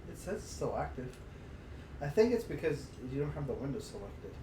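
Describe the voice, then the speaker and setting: A man's voice: mid-range voice, very animated, brisk. A man co-hosting a streamed podcast, chatting casually in a playful, slangy way. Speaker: podcast co-host. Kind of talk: casual chat on a live stream. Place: echoey room, podcast recording on stream. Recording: very noisy, echoey, distant from mic.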